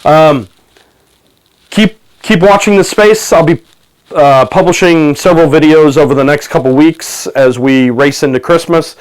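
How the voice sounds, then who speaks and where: A man talking to camera from his office about technology for business, pausing often with ums and restarts, his voice a little gravelly from a cold. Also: fairly high pitch, low-quality recording. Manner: halting, many ums and restarts. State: has a cold, slight gravel. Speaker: tech commentator. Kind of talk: casual video talk to camera. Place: office under renovation.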